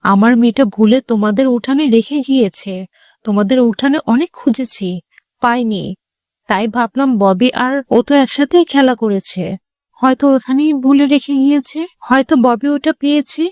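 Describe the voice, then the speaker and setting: A woman's voice: high-pitched, moderate pace, a little mellow, dry recording, studio quality, natural voice. A woman voicing an exasperated mother fox in a children's cartoon, nagging and scolding. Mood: appeasing.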